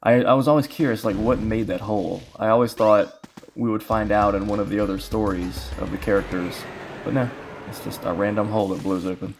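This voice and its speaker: A man's voice: fairly low pitch, fairly even intonation, a little fast, slight background noise. A man giving casual, rambling commentary as he plays through a video game, thinking aloud. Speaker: speedrun player and commentator. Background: video game music.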